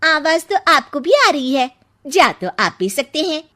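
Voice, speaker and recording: Woman as a high, bright, sarcastic daughter-in-law. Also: big pitch swings, unhurried, exaggerated voice. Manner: sarcastic, complaining. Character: sarcastic, aggrieved young Indian daughter-in-law and mother.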